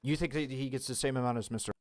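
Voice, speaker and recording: A man's voice: mid-range voice, steady tone, very fast. Questioning man in a stream debate. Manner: pressing with questions, seeks agreement. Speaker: debater in a played stream clip.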